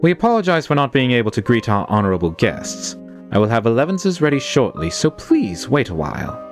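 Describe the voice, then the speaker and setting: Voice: medium pitch, sing-song, fairly quick, faint music behind. An actor playing an unflappable male butler in a manga dub, formal, courteous and composed. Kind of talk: scripted anime-style manga dub acting.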